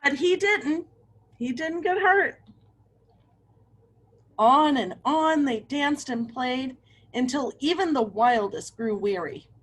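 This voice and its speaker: A woman's voice: high voice, lively intonation, takes it slowly, pauses often, low-quality recording. A woman reading a picture book aloud to children, with chatty asides and questions to her young listeners. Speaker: read-aloud storyteller for children.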